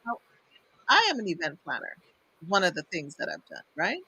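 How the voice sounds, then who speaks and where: Bright-voiced Trinidadian woman host. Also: fairly low pitch, huge pitch swings, moderate pace, husky, no room sound, rough recording. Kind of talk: casual interview talk.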